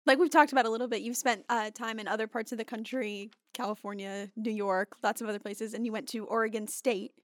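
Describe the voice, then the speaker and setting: A woman's voice: on the high side, steady tone, fast talker, hardly any pauses, no room sound. A young woman co-hosting a podcast, interviewing in a conversational style from a studio. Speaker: podcast co-host. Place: recording studio.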